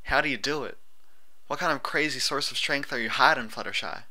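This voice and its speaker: Man voicing folksy, blunt young mare, pitched up. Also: fairly quick, bright, forceful tone. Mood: weary, despairing. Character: weary, despairing young adult female pony with folksy bluntness.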